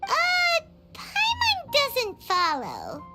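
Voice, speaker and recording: A woman voicing a small, chirpy, cheeky fairy companion with a squeaky childlike voice for a video game. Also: wildly swooping pitch, drawn-out words, over loud music, exaggerated voice. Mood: puzzled, curious. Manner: speaks of self in third person, exclamatory.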